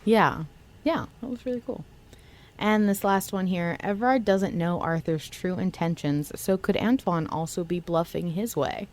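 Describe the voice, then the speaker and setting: A woman's voice: fairly low pitch, normal speed, a little mellow. A woman co-hosting a fan podcast, chatting casually and using slangy language. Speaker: podcast co-host. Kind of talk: casual fan discussion of an audio drama.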